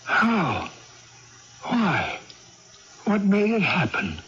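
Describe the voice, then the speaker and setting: Middle-aged father, mild and puzzled. High, lively intonation on muffled vintage radio. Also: extremely slow, heavily acted. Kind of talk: 1980s radio drama acting. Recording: dull, noisy vintage radio recording.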